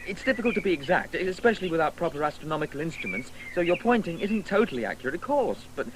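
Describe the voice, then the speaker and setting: Pedantic, haughty boy, animated and high. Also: quick pace, background noise. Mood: bossy, eager. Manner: pedantic, condescending explaining. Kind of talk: 1980s radio drama acting.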